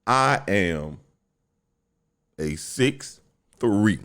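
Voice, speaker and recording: A young man hosting a solo podcast, talking bluntly and profanely in a deep voice, telling a story with lots of animation. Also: expressive, very slow, fairly bright tone. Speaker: podcast host.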